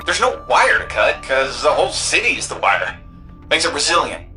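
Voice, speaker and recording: An actor playing a cocky, upbeat young futuristic city guardian in a video game, breezy, slangy and offhand. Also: male voice, fairly high pitch, sing-song, normal speed, pushed and bright, faint music behind, exaggerated voice. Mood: upbeat, confident.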